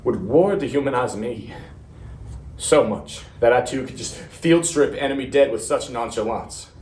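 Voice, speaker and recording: Man performing a young war veteran, haunted, halting, repeated words, dramatic stage monologue, echoey room, faint noise. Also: mid-range voice, animated, darkish tone, lightly acted. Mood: horrified, haunted. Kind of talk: serious dramatic interpretation monologue. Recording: roomy, distant mic, faint noise.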